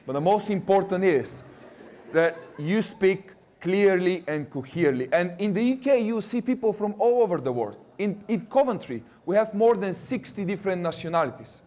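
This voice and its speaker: A man's voice: sits high, animated, normal speed, darkish tone. Chatty Russian-accented university recruiter, man. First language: not English. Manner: jokey, chatty, quizzes the audience. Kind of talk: presentation to students.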